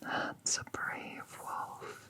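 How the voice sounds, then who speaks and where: Casting: a woman as a gentle magical veterinarian for ASMR roleplay; soft breathy whisper, very slow, reassuring, slightly formal. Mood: soothing. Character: kind, soothing magical veterinarian woman tending an injured patient.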